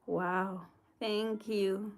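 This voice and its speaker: Kenyan woman giving gentle live advice. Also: mid-range voice, fairly even intonation. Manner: gentle, unhurried advice with soft laughs and repeated words. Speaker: livestream host giving advice. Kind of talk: casual livestream chat answering viewer comments.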